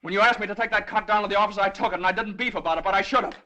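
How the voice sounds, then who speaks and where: A man's voice: high-pitched, racing, sharp tone. An actor playing a desperate young working father with an American accent, pleading and insistent in old Hollywood melodrama style. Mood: anguished, angry.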